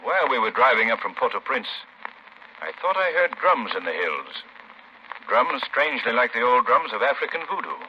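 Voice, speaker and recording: An actor playing a suave, wealthy young gentleman sleuth, polite and urbane, in a 1930s radio drama. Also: mid-range voice, very animated, normal speed, natural voice. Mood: urbane, curious. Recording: muffled, noisy 1930s radio recording.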